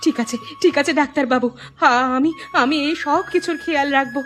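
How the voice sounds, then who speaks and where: Woman acting a high-voiced earnest village wife. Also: brisk, fairly bright tone, faint music behind. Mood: anxious, pleading. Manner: earnest, insistent. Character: anxious, scolding village wife, pleading and morally firm. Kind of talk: cartoon dialogue acting.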